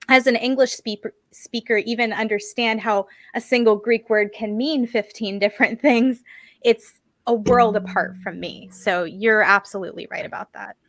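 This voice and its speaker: A woman's voice: fairly high pitch, no echo. A woman hosting a podcast, talking in a chatty, self-deprecating way with many fillers. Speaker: podcast host. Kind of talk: casual podcast conversation.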